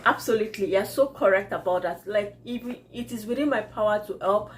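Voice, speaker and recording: A woman's voice: mid-range voice, a little fast, a little hiss or hum. Chatty Nigerian woman vlogger, laughing often. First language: Yoruba. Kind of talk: casual vlog chat, reading viewer comments aloud.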